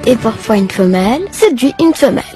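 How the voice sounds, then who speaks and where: A female narrator reads a scripted text for children. Her voice sits fairly high. Music is clearly heard behind her educational narration. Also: lively intonation, a little fast.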